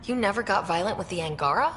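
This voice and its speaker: A woman's voice: mid-range voice, expressive, fast talker, a bit bright, in a large hall, a little hiss or hum, lightly acted. A woman playing a composed young explorer hero in a video game, direct and dry in delivery. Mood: firm, challenging. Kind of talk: video game cutscene acting.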